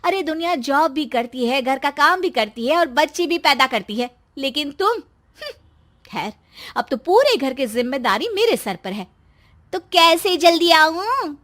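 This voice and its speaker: A woman's voice: sits high, big pitch swings, unhurried, fairly bright tone, full character voice. A woman playing an impatient young wife who scolds and mocks in a comic story. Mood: irritated, nagging. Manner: scolding, mocking, exasperated. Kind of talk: acted dialogue in a Hindi comic story.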